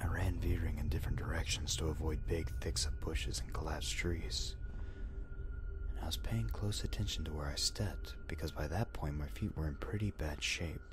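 Very deep-voiced man reading horror, hushed. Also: fairly even intonation, moderate pace. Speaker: horror story narrator. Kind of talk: dramatic reading of a first-person horror story. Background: ambient music.

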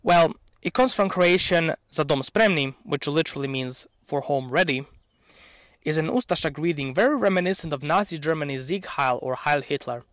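A man's voice: fairly high pitch, a bit bright, no echo, studio quality. Earnest young man. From Bosnia and Herzegovina. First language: Serbian. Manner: earnest, measured, occasionally sardonic. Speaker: channel owner. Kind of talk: prepared opinion speech. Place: outdoors in an open field. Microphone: handheld microphone.

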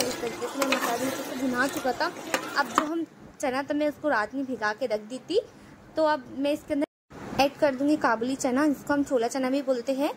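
A woman with a high voice narrates a home cooking tutorial. She talks through each step in an even, explanatory manner and repeats phrases often. A faint hum of noise sits behind her voice. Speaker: cooking channel host.

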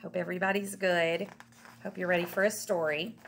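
A middle-aged woman, a teacher, reading a children's story aloud in an expressive, emphatic way. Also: on the low side, brisk, vocal fry, slight background noise. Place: indoor school classroom.

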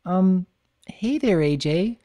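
A man's voice: high voice, animated, slow, warm tone, pitched above the performer's own voice. A man voicing a nervous, bookish young pony mare who talks hesitantly. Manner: hesitant, fretful.

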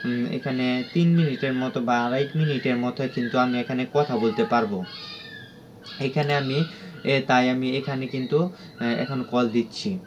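Flat-toned Bangladeshi man, halting delivery. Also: medium pitch. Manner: halting, repetitive. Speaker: tutorial presenter. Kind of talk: unscripted screen-recorded app walkthrough. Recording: dull lo-fi audio with clear noise.

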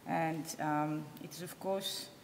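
A middle-aged woman introducing a speaker from a lectern at a conference, halting with frequent ums. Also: deep voice, steady tone, a little hiss or hum. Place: large hall at a lectern. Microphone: handheld microphone. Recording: echoey hall, long reverb.

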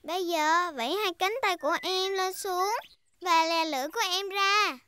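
A woman's voice: very high voice, moderate pace, bright, forceful tone, no echo. A woman voicing an eager, bossy little girl pig in a children's cartoon dub, explaining things like a big sister. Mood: bossy, instructing. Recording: music behind the voice.

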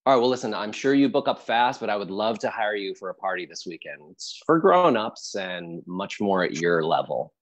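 Man acting flattering thirties guy, deep, animated, husky, comedy script, earbud mic. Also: normal speed, hardly any pauses, close to an everyday voice. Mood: impressed. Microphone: earbud headset on a video call.

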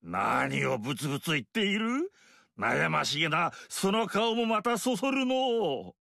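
A lecherous, greedy old tyrant for a video game, leering and boisterous. His voice is gravelly and high-pitched for a man, swinging wildly up and down. It sits dry and close in the studio. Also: very animated, unhurried, bright, forceful tone, dry recording, exaggerated voice. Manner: leering, boisterous, booming laughs.

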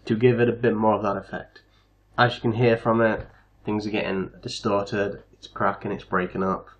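A man hosting a casual software tutorial, explaining things step by step. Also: lowish voice, level tone, normal speed, slight background noise. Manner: step-by-step instructional. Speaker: tutorial host.

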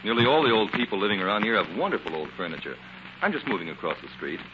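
A man's voice: fairly low pitch, very animated, very fast. A man with an American accent playing a talkative antiques dealer in a 1940s radio drama. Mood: chatty, concerned. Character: talkative, civic-minded male antiques dealer. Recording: muffled, noisy 1940s radio recording.

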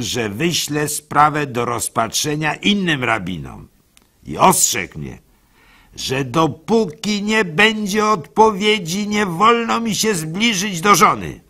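An actor playing a gullible, soft-hearted village baker who tells his story in a halting, plaintive way for a radio play. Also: on the high side, vocal fry, full character voice. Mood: subdued, recounting. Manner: halting, stammering, plaintive, swinging between hushed and loud. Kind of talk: dramatic first-person storytelling for a radio play.

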